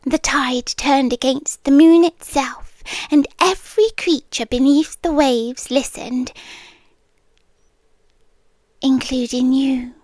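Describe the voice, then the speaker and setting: A woman's voice: sits high, steady tone, dry recording. A British woman playing a centuries-old possessive siren girlfriend in an ASMR roleplay, tender yet menacing, often sinking into whispers. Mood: eerie tenderness. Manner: slow, hushed, dropping often into whispers. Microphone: close, dry microphone.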